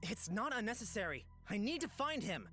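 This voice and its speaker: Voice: mid-range voice, big pitch swings, fairly quick, fairly bright tone, soft background music. An actor voicing an earnest, anxious exiled boy searching for answers in a fantasy visual novel, with a hesitant manner. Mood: defensive, urgent.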